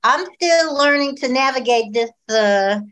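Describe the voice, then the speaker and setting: An older woman who has spent a career in radio talks in a high, bright voice. She is plainspoken and hopeful in tone. The sound comes through a video call. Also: expressive, a little slow. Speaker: radio veteran. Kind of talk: panel discussion.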